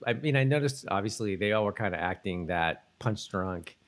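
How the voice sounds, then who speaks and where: Male co-host, somewhat low pitch, loose rambling conversational style. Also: lively intonation, normal speed. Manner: rambling, often rephrases mid-thought. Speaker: podcast co-host. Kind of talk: casual chat, TV episode discussion.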